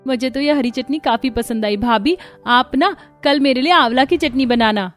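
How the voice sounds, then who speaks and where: A woman voicing a pert, demanding and critical young sister-in-law in a Hindi animated family story. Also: sits high, normal speed, soft background music, lightly acted. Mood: pleased, demanding.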